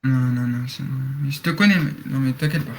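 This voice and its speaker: An eighteen-year-old man moderating a roleplay game server over voice chat, speaking in a halting way and repeating himself. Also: mid-range voice, a little hiss or hum.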